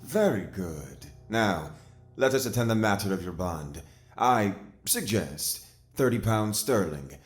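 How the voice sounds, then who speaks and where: An actor in an audio drama playing a smooth, sinister older Puritan gentleman with formal, courteous archaic speech, in a roomy echo. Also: fairly low pitch, expressive, takes it slowly, few pauses, faint music behind. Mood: coolly courteous.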